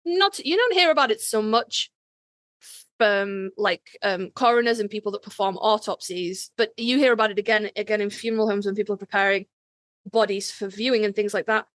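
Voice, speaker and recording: A woman's voice: mid-range voice, fairly quick, a bit bright, no room sound. A woman hosting a podcast in casual, conversational chat. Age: adult, parent. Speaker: podcast host.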